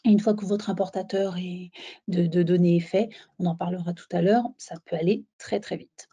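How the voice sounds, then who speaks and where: A woman presenting a software webinar in an explanatory, somewhat halting way, as if walking listeners through slides. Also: lowish voice, steady tone, husky.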